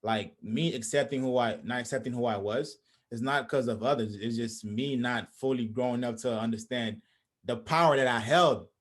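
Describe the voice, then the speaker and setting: A man's voice: mid-range voice, moderate pace. A young Haitian man hosting a casual video-call conversation, speaking reflectively with many restarts and fillers from a small room. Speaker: host. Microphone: video call webcam.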